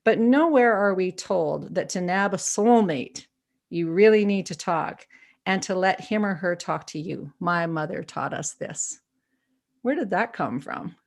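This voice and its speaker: A woman's voice: on the low side, unhurried, vocal fry. A woman from Canada hosting an interview, effusive and chatty. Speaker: interview host. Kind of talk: conversational interview.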